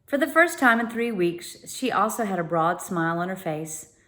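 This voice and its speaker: A woman's voice: medium pitch, lively intonation, moderate pace, bright, forceful tone. Woman narrator for children's read-aloud, mid-range voice, steps out informally to explain words.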